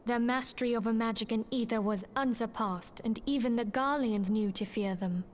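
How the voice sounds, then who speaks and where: An earnest woman who leads a secret order, as a streamer reads her, speaking formally and evenly in a slightly higher voice. A faint hiss sits under the recording. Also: natural voice. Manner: formal, measured storybook reading.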